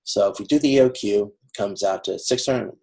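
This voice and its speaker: Low, creaky-voiced male instructor. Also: mellow, poor audio. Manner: halting, informal, often repeating and restarting phrases. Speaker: college instructor. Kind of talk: explanatory online lecture with worked example. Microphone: video conference.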